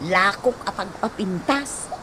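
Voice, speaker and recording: A woman's voice: mid-range voice, a little slow, close to an everyday voice. An actress playing a teasing, gossipy, playful village woman in a radio comedy drama. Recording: noisy radio drama, bird ambience.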